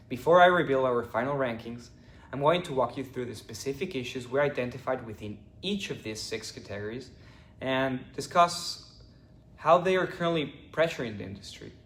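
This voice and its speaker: A young man from Ecuador, a finance student, giving a prepared class presentation at a steady pace with the odd stumble. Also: mid-range voice, echoey room, slight background noise. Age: young adult.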